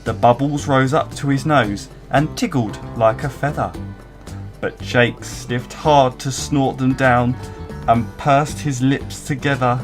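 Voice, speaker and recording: Slow-paced man reading a children's storybook. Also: medium pitch. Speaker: storybook reader. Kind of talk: expressive scripted narration of a children's story. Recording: faint guitar music behind. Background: soft plucked guitar music.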